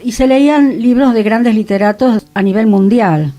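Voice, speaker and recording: Mellow-voiced Argentine woman on radio. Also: a little high, normal speed, faint music behind. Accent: Rioplatense Spanish. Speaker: radio panelist. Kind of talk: radio talk about theater history.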